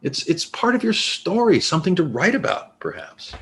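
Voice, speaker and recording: A man acting as a nosy, teasing former literary agent in a stage play, husky-voiced, with a probing, conversational manner. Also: fairly high pitch, big pitch swings, moderate pace, a bit bright, heavily acted. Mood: probing, needling. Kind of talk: naturalistic stage play dialogue.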